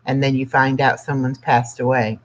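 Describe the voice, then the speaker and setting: A sixty-year-old livestream host chatting casually and reading aloud in a plainspoken, halting way, with pauses between phrases. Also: mid-range voice, little pitch movement. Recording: dull lo-fi livestream audio.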